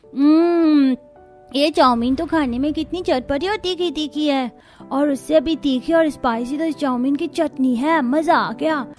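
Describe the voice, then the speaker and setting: A high, eager child's voice, acted by a woman for a cartoon story, gushing with greedy excitement. Music plays underneath. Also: measured pace. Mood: excited, craving. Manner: gushing, exclaiming over food. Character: greedy excited child craving spicy food. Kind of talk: Hindi cartoon story dialogue.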